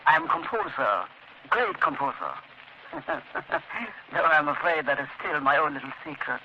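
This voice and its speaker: An actor in a 1930s radio drama playing a vain, menacing composer and music doctor, pompous and effusive. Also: male voice, fairly high pitch, big pitch swings, unhurried. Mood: grandly flattering. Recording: muffled 1930s radio recording, faint noise.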